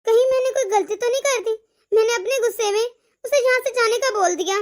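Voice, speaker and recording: Cartoon role: a proud, lonely mother, sorrowful and high-voiced, voiced by a woman. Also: brisk. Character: regretful, lonely, proud middle-aged mother. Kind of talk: animated family drama dialogue.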